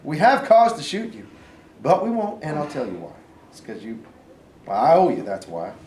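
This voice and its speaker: A man reading the part of a gruff but fair farmer turned Confederate sergeant, plainspoken and folksy, in an amateur stage play. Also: on the high side, huge pitch swings, normal speed, darkish tone. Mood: firm, fair. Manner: folksy, plainspoken, hesitant phrasing. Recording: roomy, distant, faint background noise.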